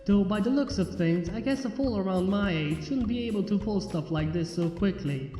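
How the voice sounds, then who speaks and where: A fan reader narrating a story in the first person with a steady, even delivery. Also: medium pitch. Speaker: fanfiction reader. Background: faint background music.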